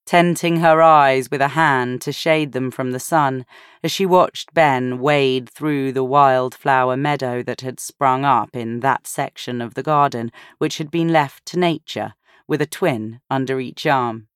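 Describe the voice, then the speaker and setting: Audiobook narrator: woman, low register, measured pace, dry studio sound. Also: clean tone, no room sound. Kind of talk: scripted fiction narration.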